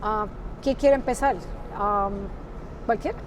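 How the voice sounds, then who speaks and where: A woman's voice: a little high, takes it slowly. A middle-aged woman from Puerto Rico hosting an interview, friendly and questioning, dropping English fillers into her speech. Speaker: interview host. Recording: noisy recording.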